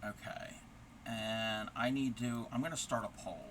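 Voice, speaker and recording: A man's voice: medium pitch, steady tone, measured pace, a bit bright. A man hosting a crochet livestream, chatting casually and answering viewers while he explains stitches step by step, his voice turning scratchy.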